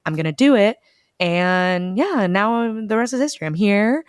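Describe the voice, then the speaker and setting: A young woman from the United States, talking casually as an interview guest over a video call, telling stories with lively quoted asides. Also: medium pitch, moderate pace, clean tone, dry recording, clean studio sound. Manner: chatty, animated storytelling with quoted asides. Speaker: bookstore events director, guest.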